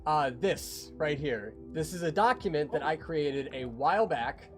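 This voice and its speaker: A man's voice: a little high, lively intonation, measured pace. A man chatting casually as a creator walking viewers through a project on a livestream. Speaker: creator on a behind-the-scenes livestream. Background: music.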